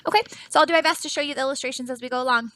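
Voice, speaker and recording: A woman teacher with a high, bright voice reads to children in a dry, close sound. She is animated and dramatic, pausing to explain things and put questions to her listeners. Her delivery is unhurried throughout. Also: no room sound. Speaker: teacher reading a picture book aloud. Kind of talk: expressive children's read-aloud with explanatory asides.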